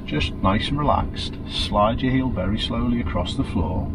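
A middle-aged man, calmly coaching a learner through the pedals inside a car, often checking she understands. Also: mid-range voice, normal speed. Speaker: driving instructor. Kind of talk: patient step-by-step instruction. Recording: noisy car interior.

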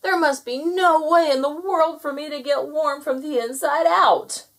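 A woman voicing a cold, weary bearded male gnome who shouts and exclaims in a children's story. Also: sits high, expressive, moderate pace, pitched above the performer's own voice. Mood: distressed, dejected.

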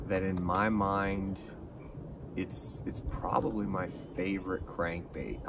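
A middle-aged man hosting a fishing channel, reviewing a lure to camera in a casual, rambling, enthusiastic way while sitting outdoors in a pine forest. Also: sits low. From California. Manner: relaxed, rambling, enthusiastic about gear. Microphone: camera at a distance. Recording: distant outdoor mic with background noise.

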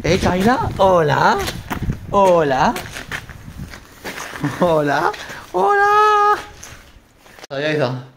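A man in his thirties with a Castilian Spanish accent vlogs in an informal, expressive way. His voice sits a little high. The handheld recording carries light noise. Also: drawn-out words, vocal fry, pauses often. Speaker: vlogger. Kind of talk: casual vlog narration and chat. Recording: noisy handheld on-location recording.

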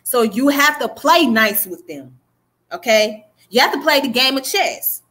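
Bright, high Southern woman lecturing. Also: lively intonation, a little slow. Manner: emphatic, preachy, keeps checking in with "okay?". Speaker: livestream history host. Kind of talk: casual livestream lecture. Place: small room indoors. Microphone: webcam close up.

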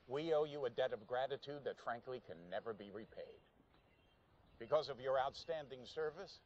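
Actor as a stately older American president. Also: male voice, mid-range voice, lo-fi sound, close to an everyday voice. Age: 60s. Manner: measured, oratorical, emphatic pauses. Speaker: president giving a speech. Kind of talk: formal televised speech, acted.

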